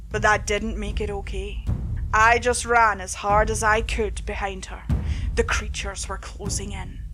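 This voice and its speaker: Slow-paced woman narrating horror over noise. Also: medium pitch. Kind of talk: scripted dramatic horror narration. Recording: clear background noise, ambience.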